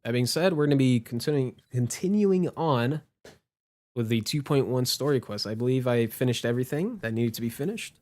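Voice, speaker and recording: A man's voice: medium pitch, lively intonation, a little fast, a little mellow. A man doing casual gaming stream commentary, informal and prone to swearing, with game music behind him. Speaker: livestreamer.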